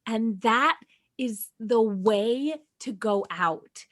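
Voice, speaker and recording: A woman with a slightly high-pitched voice in a casual panel talk. She is informal and emphatic, pressing her opinions as a co-host. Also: drawn-out words. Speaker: podcast co-host.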